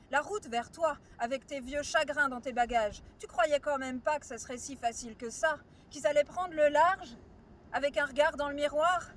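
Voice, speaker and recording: High voice doing slam poetry, rhythmic n intense, recorded outside w some traffic noise behind. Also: a bit bright. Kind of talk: spoken-word slam poetry recital. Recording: outdoor recording with clear ambient noise.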